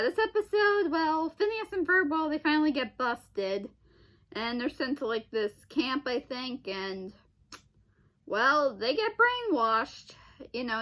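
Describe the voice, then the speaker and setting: A woman's voice: sits high, a bit bright. A young woman giving casual, off-the-cuff video commentary in a rambling, hesitant way, in broken phrases with exclamations. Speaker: video host.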